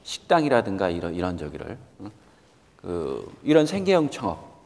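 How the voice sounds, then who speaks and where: A man in his fifties speaks as a guest lecturer in a reverberant hall. His pitch moves in lively sweeps. He is emphatic, repeats his main points and turns questions directly on his listeners. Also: normal speed, creaky. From South Korea. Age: 50s. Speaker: guest lecturer, company executive. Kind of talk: motivational lecture to students.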